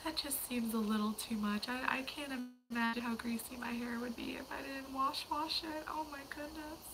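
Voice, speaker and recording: Young woman, hoarse, losing her voice, high pitch, rambling chatty livestream host, background noise. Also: steady tone, normal speed. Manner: rambling, chatty, drifting into whispers. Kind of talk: casual livestream chat.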